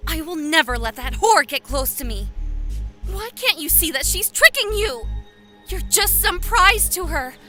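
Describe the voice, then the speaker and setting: Super high bright anime schoolgirl, emotional n intense, audio drama. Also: very animated, a little slow, soft background music, full character voice. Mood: hurt, furious.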